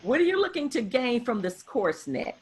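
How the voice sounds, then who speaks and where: A woman's voice: a little high, very animated, moderate pace, a crowd in the background. A woman teaching an interactive class over a video call as a professor, emphatic and repeating phrases for emphasis. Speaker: marketing professor.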